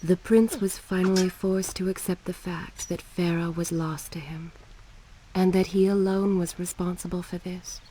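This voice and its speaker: A woman's voice: lowish voice, fairly even intonation, moderate pace, dark tone, slight background noise, natural voice, rough recording. A woman playing a solemn, wistful storyteller, narrating a video game's tale from a script.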